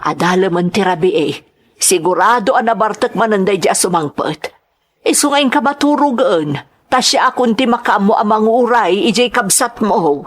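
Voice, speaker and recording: A woman's voice: medium pitch, expressive, moderate pace, natural voice. Woman acting a worried, pleading mother, raised voice. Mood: worried, soothing. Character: worried, pleading mother of two quarrelling grown sons. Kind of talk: melodramatic radio-style drama acting.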